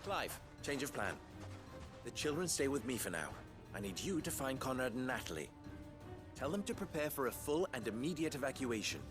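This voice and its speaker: An actor playing an urgent, commanding and honorable town leader in a video game, speaking formally and earnestly. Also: male voice, mid-range voice, sing-song, normal speed, lightly acted. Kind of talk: dramatic video game acting. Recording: loud music behind.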